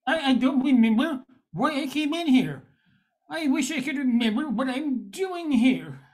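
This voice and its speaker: A woman's voice: high-pitched, lively intonation, darkish tone, a little hiss or hum. A woman playing a befuddled husband in a radio play, stammering and drawing out words. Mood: confused. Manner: halting, stammering, drawn-out words. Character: befuddled, hesitant husband losing his brain cells.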